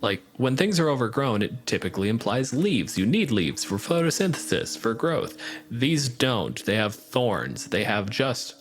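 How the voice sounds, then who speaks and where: Slow-talking man with wry scene descriptions. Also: mid-range voice, sing-song. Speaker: game master. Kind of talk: describing a scene in a tabletop game.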